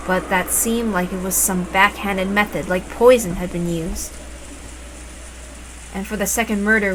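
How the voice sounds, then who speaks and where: Woman reading out a visual novel, expressive storytelling, normal pitch, kinda noisy background. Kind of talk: dramatic first-person story narration. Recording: noticeable background noise.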